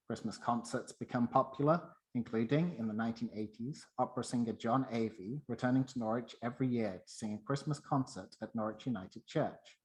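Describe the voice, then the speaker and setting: A man's voice: medium pitch, poor audio. A man presenting a scripted talk and narrating a story.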